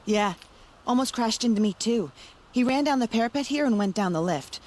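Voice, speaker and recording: A woman's voice: fairly high pitch, animated, a little fast, a little mellow. A woman playing a blunt, wry city bystander in a video game, talking in a casual, salty way. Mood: wry, offhand.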